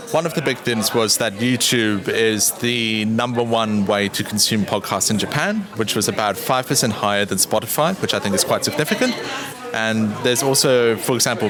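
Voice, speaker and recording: A man from Australia, a guest giving clear, informative answers in a conference interview. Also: fairly low pitch, a little fast. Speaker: interview guest, podcast producer. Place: busy conference venue. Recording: noisy crowd around the mic. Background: crowd chatter.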